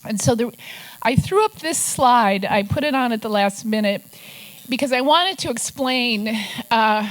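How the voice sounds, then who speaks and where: Older lady journalist, minnesota-raised, fairly high voice, chatty wry storytelling at a press club talk. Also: animated, unhurried, low-quality recording. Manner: storytelling, wry asides, puts on gruff quoted voices. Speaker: investigative journalist and author.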